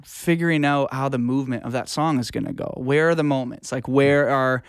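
A man's voice: mid-range voice, steady tone, fairly quick, smooth, no room sound, clean studio sound. A man speaking casually as a music producer being interviewed, explaining things informally with examples. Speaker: music producer and audio engineer guest.

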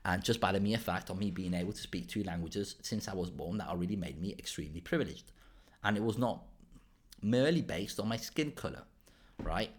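A man from southern Spain hosts a solo podcast, thinking aloud in a halting, unscripted way. His voice sits deep and low. He keeps restarting phrases and tacking "right?" onto his points. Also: animated, breathy edge. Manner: halting, many restarts and tag questions like "right?". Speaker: podcast host. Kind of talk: casual solo podcast monologue.

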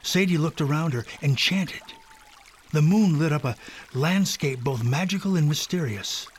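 A man narrating a scripted children's story. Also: on the high side.

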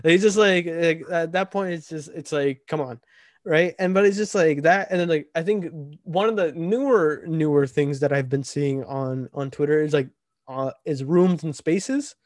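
A man's voice: fairly high pitch, normal speed, a little mellow, no echo, studio quality. Twenty-year-old man, casual rambling host. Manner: rambling, filler-heavy, keeps asking "right?". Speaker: podcast host. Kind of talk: casual podcast chat.

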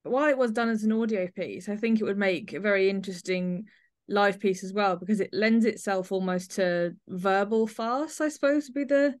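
Woman podcast host with a mid-pitched voice. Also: moderate pace. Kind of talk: conversational arts discussion.

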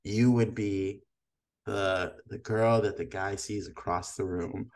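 A man's voice: deep voice, steady tone, slow, darkish tone. Friendly rambling podcast host man. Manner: friendly, teasing, rambles with restarts. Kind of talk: casual podcast interview.

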